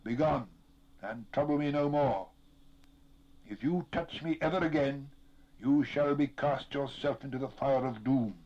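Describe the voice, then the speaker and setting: A man's voice: medium pitch, unhurried, darkish tone, husky, lo-fi sound. A man voicing a stern, grave hero in a storybook reading, solemn and commanding.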